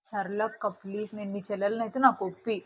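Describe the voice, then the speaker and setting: A woman's voice: mid-range voice, little pitch movement, fast talker. A young woman, chatting casually in a pleading, coaxing way. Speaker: prank caller. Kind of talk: casual pleading phone-prank conversation. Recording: muffled, very noisy location audio.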